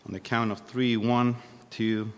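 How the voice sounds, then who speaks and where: A man in his thirties from Chile, a native Spanish speaker with a Chilean accent, preaching informally and haltingly with a self-deprecating manner. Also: fairly low pitch, level tone, smooth. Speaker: guest preacher. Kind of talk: sermon. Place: church hall. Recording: long hall reverb.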